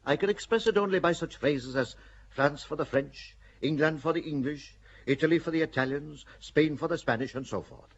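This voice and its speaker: Male actor: calculating bishop, husky, measured and persuasive, muffled radio audio. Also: fairly high pitch. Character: shrewd older churchman, a bishop, calculating.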